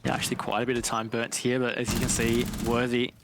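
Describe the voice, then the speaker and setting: A man's voice: mid-range voice, monotone, fast talker, creaky, background noise. A man commentating live on an esports match in an analytical style. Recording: game gunfire and clicks behind. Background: video game gunfire and clicks.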